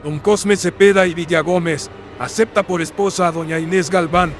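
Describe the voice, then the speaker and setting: A grave friar of colonial times voiced by a man, with a slightly high, processed male voice. He is fatherly and resigned, measured and ceremonious, in a horror radio play with music behind him. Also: steady tone. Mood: solemn, ceremonious. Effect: processed voice filter. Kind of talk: horror radio drama acting.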